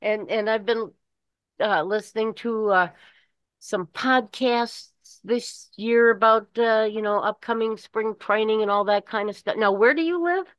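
An older woman with a Midwestern American accent, chatting casually and rambling in a halting way. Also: on the high side, dry recording. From Michigan, United States. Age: 60s or older. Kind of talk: casual chat. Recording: dull lo-fi call audio.

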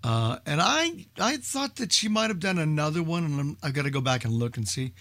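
A man's voice: a little high, sing-song, a little fast, a bit bright. An older man chatting casually as a knowledgeable old-time radio enthusiast, hesitant and thinking aloud. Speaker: old-time radio expert on a group call. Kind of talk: casual group discussion.

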